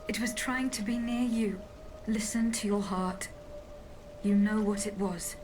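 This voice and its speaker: An actress playing a composed royal bodyguard in a video game, speaking formally and with earnest insistence. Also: female voice, mid-range voice, steady tone, measured pace, natural voice. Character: composed, insistent bodyguard woman revealing hard truths. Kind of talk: dramatic video game cutscene acting. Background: music.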